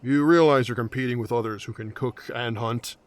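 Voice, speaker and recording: A man's voice: medium pitch, brisk, natural voice. An actor playing a blunt, hard-to-please male adventurer in a fantasy audio drama, speaking in a curt, dry manner.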